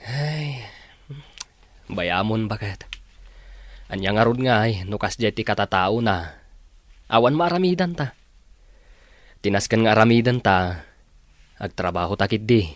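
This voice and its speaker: A resigned older husband in a radio drama who calmly counsels patience. His voice is low and steady, recorded close and dry. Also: measured pace, pauses often, no room sound.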